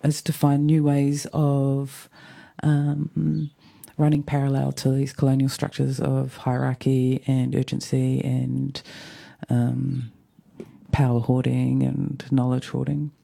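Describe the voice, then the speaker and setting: A radio host with a croaky morning voice, talking in a meandering, thoughtful, soft-spoken way on a casual solo show. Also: medium pitch, monotone, takes it slowly. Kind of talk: casual reflective solo talk.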